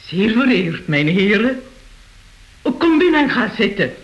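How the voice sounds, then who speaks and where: A woman's voice: mid-range voice, big pitch swings, a little slow. An actress playing a charming yet unsettling seventy-five-year-old castle lady in a radio play, theatrical and coquettish. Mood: gracious, playful. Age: older. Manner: theatrical, coquettish hostess, refers to herself in third person. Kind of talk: Dutch-language radio play acting. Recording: dull, muffled radio play recording.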